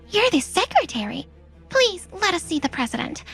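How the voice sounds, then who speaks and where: An actress playing an anxious, deferential young office subordinate in a manga dub. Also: female voice, very high voice, very animated, normal speed, creaky, a bit bright, soft background music, exaggerated voice. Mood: worried, pleading.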